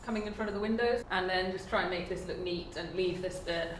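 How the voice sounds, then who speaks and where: A woman's voice: fairly low pitch, fairly quick. A young woman, casually talking through her barn renovation as she works, thinking aloud about her next steps. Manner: chatty, thinking aloud while working. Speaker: DIY renovation vlogger. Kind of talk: casual vlog narration. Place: large empty brick barn, indoors. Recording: echoey barn, mic set far off, faint background hiss.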